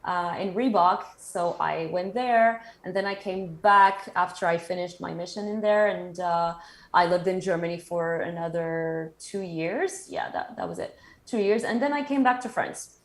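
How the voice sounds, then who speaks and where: A woman in her thirties from Morocco, a guest chatting casually in an interview, talking lively and laughing often. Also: on the low side, moderate pace.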